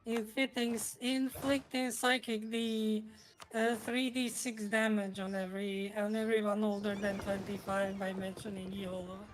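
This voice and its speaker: A woman's voice: medium pitch, flat delivery, measured pace, faint music behind, poor audio. A woman from Croatia chatting casually as a streamer while playing a strategy game, rambling a little with dry humour. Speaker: gaming streamer.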